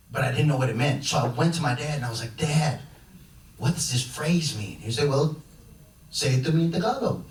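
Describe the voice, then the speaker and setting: A young man from Chicago doing a stand-up comedy set on a club stage, talking in a loose, rambling way full of restarts. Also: mid-range voice. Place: comedy club stage before a laughing audience. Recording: echoey club room from far off.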